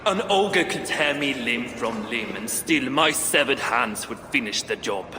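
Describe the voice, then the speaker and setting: A man's voice: mid-range voice, animated, measured pace, pushed and bright. An actor playing a stern, boastful dwarf master craftsman in a video game, with a pompous, emphatic delivery. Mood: proud, scornful. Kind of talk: video game cutscene acting. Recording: reverberant hall, faint background noise.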